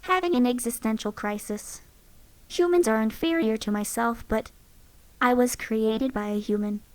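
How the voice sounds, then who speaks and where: A poised synthetic companion from a game, voiced by a woman, with a slightly raised voice. She speaks calmly and factually, with a faint haughtiness toward humans. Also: fairly high pitch, normal speed, close to an everyday voice, poor audio. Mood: musing. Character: aloof artificial female companion, curious and superior.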